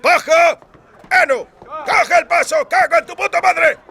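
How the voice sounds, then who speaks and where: Bellowing army sergeant, barking orders, super high and piercing, foul mouth. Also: male voice, measured pace, creaky, pushed and bright, heavily acted. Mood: roaring, furious. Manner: barking, roaring commands.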